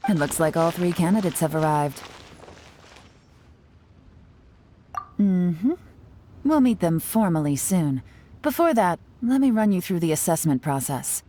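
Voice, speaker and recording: A woman's voice: lowish voice, lively intonation, moderate pace, dark tone, a little hiss or hum, close to an everyday voice. A woman voicing a cool, confident, shrewd investigator in a video game, composed and businesslike.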